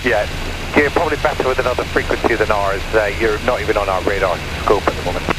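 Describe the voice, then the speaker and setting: A man's voice: fairly low pitch, fast talker. A man working as an air traffic controller, giving clipped procedural instructions over aviation radio. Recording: radio transmission under loud engine noise. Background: aircraft engine.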